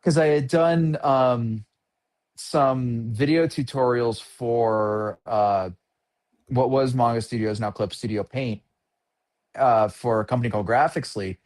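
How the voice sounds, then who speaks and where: A man in his fifties, an artist being interviewed, who speaks in an unhurried way and often laughs while talking. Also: medium pitch, a little mellow. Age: 50s. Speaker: guest artist. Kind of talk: casual interview chat.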